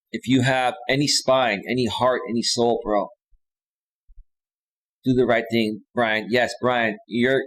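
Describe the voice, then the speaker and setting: A man's voice: mid-range voice, low-quality recording. Insistent man hosting a casual livestream. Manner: repetitive, insistent direct address with slangy "bro" and "dude". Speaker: host of a live stream. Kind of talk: casual off-the-cuff livestream monologue.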